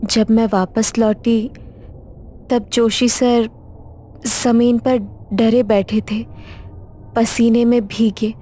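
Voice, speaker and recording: A woman playing an alert senior nurse in a cartoon horror story, recounting in a halting, serious way. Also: on the high side, little pitch movement, mellow. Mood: serious, uneasy.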